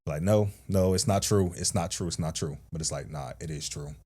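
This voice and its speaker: A man's voice: a little fast, darkish tone, husky. A man co-hosting a podcast, talking candidly and earnestly in casual slang. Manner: earnest, candid, slangy, with a deep stern register. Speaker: podcast co-host.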